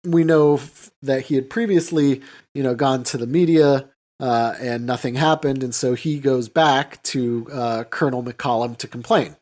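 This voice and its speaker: A man's voice: medium pitch, normal speed, a little mellow. Casual, rambling male podcast host. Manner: hesitant, rambling, often saying "you know". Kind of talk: casual film discussion.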